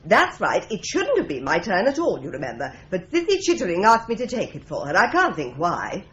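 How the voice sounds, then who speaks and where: A wry, teasing office secretary. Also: female voice, mid-range voice, wildly swooping pitch, brisk, a bit bright, highs cut off.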